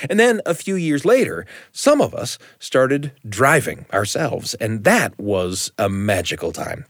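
A man's voice: fairly low pitch, no room sound, studio quality. A man hosting, telling a nostalgic personal story in a reflective way. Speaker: host. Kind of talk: storytelling monologue.

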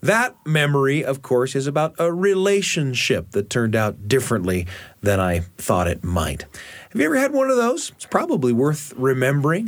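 Male radio host-storyteller, reflective and carefully phrased, expressive melody, dry studio sound. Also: lowish voice, no room sound. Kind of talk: scripted personal storytelling and radio narration.

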